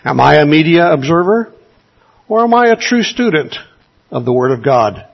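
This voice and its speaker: A man's voice: a little high, big pitch swings, normal speed, vocal fry, lo-fi sound. A man preaching a sermon in an earnest, exhorting way, asking his listeners rhetorical questions.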